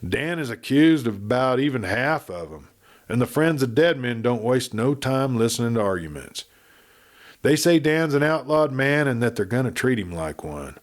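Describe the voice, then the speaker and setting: A man's voice: medium pitch, expressive, moderate pace, voice pushed up, lightly acted. A man voicing a blunt, grim cowboy in a western audiobook, with rustic frontier talk. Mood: grim explaining.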